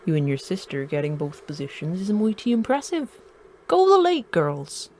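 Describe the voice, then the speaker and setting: An actress playing a fond, proud aunt reading out a birthday greeting in an audio drama, affectionate and lively. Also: female voice, on the low side, moderate pace.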